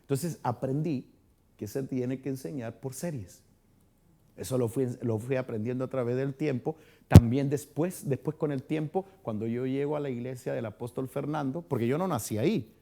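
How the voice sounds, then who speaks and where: A man's voice: mid-range voice, normal speed, warm tone. A man from Chile with a Chilean accent, a pastor leading a conversational Bible study and quizzing his congregation as he talks. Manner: chatty, asks the congregation questions, frequent restarts. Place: church hall.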